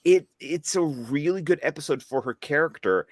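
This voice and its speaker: Animated man with a lively, swinging pitch. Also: normal speed, no echo, studio quality. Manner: animated, jokey, playful asides. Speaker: co-host of a watch-along stream. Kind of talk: casual commentary chat.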